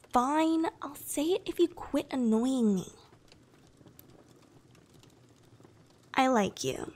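A woman playing a shy, affectionate young anime-style girlfriend in a soft, often whispered, intimate ASMR roleplay. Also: high-pitched, animated, breathy edge, exaggerated voice. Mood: coy, bashful. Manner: slow, gentle, intimate, coy.

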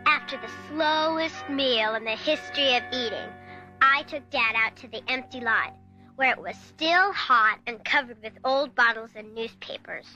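A woman's voice: a little high, normal speed, pushed and bright, soft background music, rough recording. A woman playing an earnest, stubborn young American girl in an audio drama, insistent and pleading. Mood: determined. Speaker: actor and narrator in an audio drama. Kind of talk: dramatic radio-play acting.

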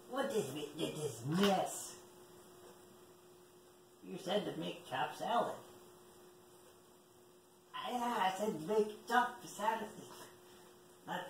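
A man's voice: high-pitched, creaky, full character voice. A man voicing a shaggy monster puppet cook, flustered and exasperated, recorded at a distance in a noisy room. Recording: roomy, distant mic, noisy background.

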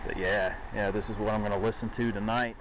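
A man in his forties talks in a relaxed, rambling way full of "uh"s, his voice sitting a little low. He holds the camera at arm's length while walking through the woods. The audio is noisy outdoor camcorder sound with a river rushing behind him. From western North Carolina. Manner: relaxed, rambling, many fillers. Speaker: vlogger. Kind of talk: casual outdoor vlog. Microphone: handheld camera at arm's length, walking. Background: river and outdoor ambience.

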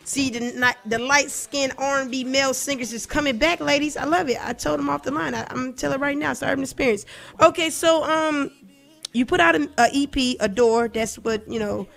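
A woman's voice: high voice, brisk, gravelly, fairly bright tone. A woman hosting a radio show as DJ, interviewing a guest in a playful, chatty way. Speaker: radio DJ host.

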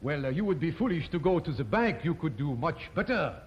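A sly French swindler who oozes politeness. His voice sits high and swoops around. The old TV soundtrack is muffled, with faint hiss. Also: sing-song, brisk. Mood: ingratiating. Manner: oily, exaggerated politeness. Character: smooth-talking French con man, sly and ingratiating. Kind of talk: 1950s sitcom acting.

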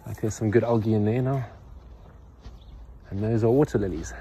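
A man's voice: on the low side, normal speed, dark tone, a little hiss or hum. A man filming himself on a handheld phone outdoors, talking bluntly and matter-of-factly while he works. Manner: blunt, opinionated, matter-of-fact. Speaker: farmer vlogger. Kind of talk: casual vlog narration while working. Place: outdoors in a yard by a pond. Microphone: phone camera held in hand, point of view.

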